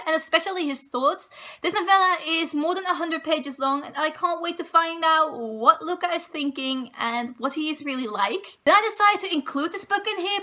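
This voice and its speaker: Girl talking books, super high voice, chatty and eager, fixes herself mid-sentence. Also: bright, forceful tone. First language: Dutch. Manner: chatty, self-correcting, eager. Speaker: book vlogger. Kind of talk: casual book-list chat to camera.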